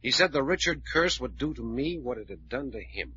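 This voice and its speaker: A man's voice: mid-range voice, sing-song, a little fast, sharp tone. An actor playing a firm, self-assured man in charge, matter-of-fact and sceptical, in old radio drama style. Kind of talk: 1940s radio acting. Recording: dull, noisy old radio recording.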